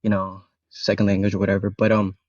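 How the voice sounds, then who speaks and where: A man's voice: fairly low pitch, completely flat delivery, fast talker, a little mellow, dry recording. A young man whose first language is Spanish, arguing bluntly in a casual live-stream debate, rambling with many restarts and fillers. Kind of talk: casual argumentative chat. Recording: dry, dull lo-fi call audio.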